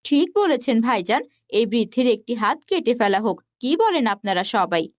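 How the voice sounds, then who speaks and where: Casting: stern insistent villager, cartoon, high animated delivery, firm and bossy female performer. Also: normal speed, no room sound, studio quality. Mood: vengeful. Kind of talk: cartoon dialogue.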